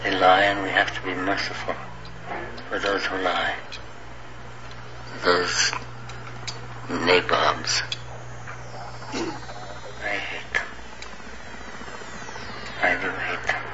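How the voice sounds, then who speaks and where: Out of a muffled, hiss-laden film clip comes a brooding renegade colonel. His voice sits very deep and barely moves, and he drags each phrase out slowly in a low half whisper. He sounds menacing and older. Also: little pitch movement. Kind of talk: film dialogue.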